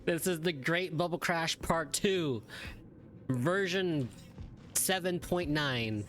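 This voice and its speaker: A man's voice: fairly high pitch, slow pace, fairly bright tone, soft background music. A man giving casual reaction commentary while playing a video game.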